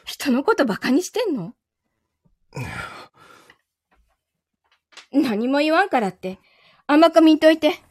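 Woman as possessive young lover, high-pitched, Kansai Japanese accent, coldly teasing, quietly threatening, dry recording, radio-play acting. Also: very animated, moderate pace, no echo. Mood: menacing, teasing. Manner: controlled, coldly teasing, menacing. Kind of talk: live audio drama acting.

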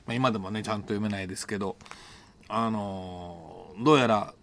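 A man's voice: fairly low pitch, a little fast, gravelly, fairly bright tone. Middle-aged man reflectively telling personal stories. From Japan. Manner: hesitant, thinking aloud, self-correcting. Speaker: host telling personal stories on an audio show. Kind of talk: unscripted reflective storytelling monologue.

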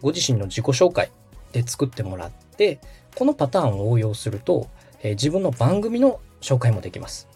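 Lively, husky man hosting a podcast. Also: mid-range voice, normal speed. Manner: polite, step-by-step instruction. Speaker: podcast host. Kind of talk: explanatory how-to talk. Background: background music.

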